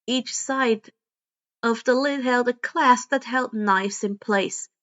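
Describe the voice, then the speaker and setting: A woman narrates a scripted story. Her voice sits very high for a woman. The recording is a touch dull and lo-fi. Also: takes it slowly, a bit bright. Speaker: podfic narrator.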